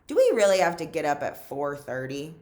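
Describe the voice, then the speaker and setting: A woman's voice: low-pitched, sing-song, fairly quick, darkish tone. A woman reading the part of a fidgety teenage car thief from a storybook, casual and chatty. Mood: incredulous. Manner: casual, hesitant, colloquial "you know".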